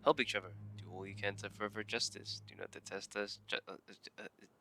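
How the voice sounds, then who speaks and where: A man's voice: lowish voice, little pitch movement, fast talker, gravelly, over music. A man casually commenting as he plays a game, sometimes reading text aloud. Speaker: game streamer.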